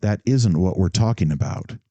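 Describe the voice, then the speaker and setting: A man voicing a gentle, indulgent mother who scolds her son mildly and without severity. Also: sits low, expressive, normal speed, no echo, lo-fi sound. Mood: gently reproving.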